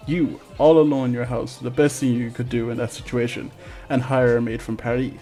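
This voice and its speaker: Male reviewer, mid-pitched, tongue-in-cheek scripted narration, music underneath. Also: steady tone, fairly quick, mellow. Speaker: video game reviewer.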